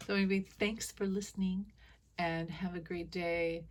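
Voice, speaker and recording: A woman's voice: fairly low pitch, fairly even intonation, normal speed. A woman with a scratchy voice from allergies, rambling through a casual solo monologue as a psychic commentator, with chuckling asides. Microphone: phone.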